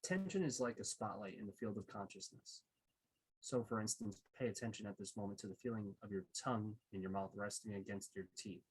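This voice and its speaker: A man guides a meditation in a slightly low, husky voice. He gives gentle instructions in short phrases, leaving pauses between them and taking his time. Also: poor audio.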